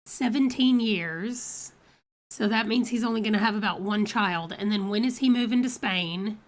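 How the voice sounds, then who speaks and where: A woman's voice: medium pitch, normal speed. A woman hosting a let's play, chatty and reading out dice rolls, her voice congested from a cold. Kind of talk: casual game commentary.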